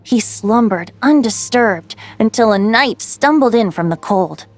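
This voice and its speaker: Light, high-pitched youthful bard. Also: female voice, animated, measured pace, faint music behind. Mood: lyrical storytelling. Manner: lilting, theatrical, poetic. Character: playful youthful bard, lyrical storyteller. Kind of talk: video game voice acting, storytelling.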